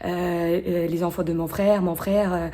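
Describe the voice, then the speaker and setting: A woman in her thirties with a Ukrainian accent, answering interview questions haltingly, with many fillers and restarts. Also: lowish voice, flat delivery, dark tone.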